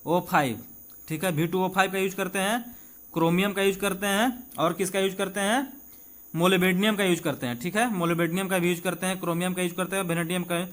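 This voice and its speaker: A man's voice: sits high, a bit bright. A man with an Indian accent teaching an exam-prep lesson informally, repeating key points and checking in with listeners. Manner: reads questions slowly and deliberately in English, then explains in Hindi. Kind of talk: exam-prep chemistry lesson, explaining questions aloud.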